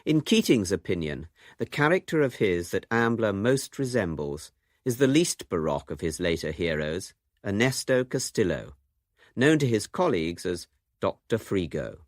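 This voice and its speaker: A man's voice: lowish voice, very animated, normal speed, dry recording. A man presenting a radio documentary in scripted, literary narration with dry humour.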